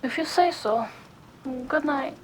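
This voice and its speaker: A woman's voice: high voice, animated, takes it slowly, heavy background noise. A woman playing a friendly, concerned comrade in an audio drama, talking casually with a hesitant, caring manner. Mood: coaxing, worried.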